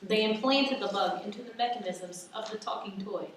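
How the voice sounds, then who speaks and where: A woman's voice: mid-range voice, normal speed, close to an everyday voice. A woman playing a vengeful, commanding Russian spy in a radio play. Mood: scornful. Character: defiant, vengeful female Russian spy holding captives. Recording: roomy echo, distant mic, faint noise.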